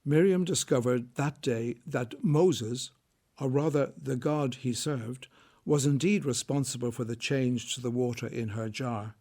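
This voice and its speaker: Male narrator, mid-range pitch, scripted storytelling delivery. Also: darkish tone.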